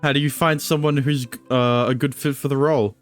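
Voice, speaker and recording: A man's voice: mid-range voice, fairly even intonation, fairly quick, faint music behind. A man streaming a game, improvising casual, joking replies to the dialogue with a stammering delivery.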